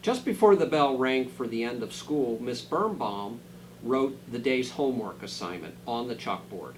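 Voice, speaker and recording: A man reading a children's storybook aloud dramatically, dropping into quieter asides to his listeners. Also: medium pitch, animated, moderate pace, slight background noise, rough recording. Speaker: school superintendent reading a picture book aloud.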